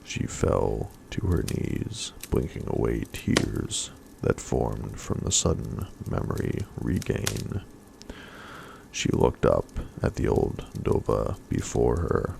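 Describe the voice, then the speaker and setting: Male ASMR storyteller, breathy half-whisper, extremely low creaky tone, slow pace, faint background noise. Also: big pitch swings, rough recording. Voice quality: breathy, hushed, often whispered. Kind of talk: soft-spoken ASMR story reading.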